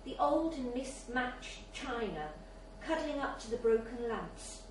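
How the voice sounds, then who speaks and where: A woman's voice: fairly high pitch, lively intonation, a little slow. A woman reading a literary short story aloud as scripted narration at a live event in a bar. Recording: very echoey, distant mic, faint noise.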